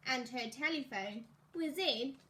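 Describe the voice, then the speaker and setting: A woman teacher reading a children's story aloud, expressively and deliberately, as if to young pupils. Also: high-pitched, sharp tone.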